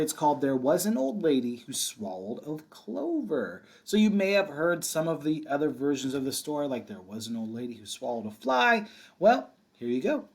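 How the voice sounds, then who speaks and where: Man with a lively, higher-pitched storytime voice. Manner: encouraging, simple talk addressed to young children, sing-song reading of rhymes. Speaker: kindergarten teacher reading a picture book aloud. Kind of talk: children's storybook read-aloud with casual asides.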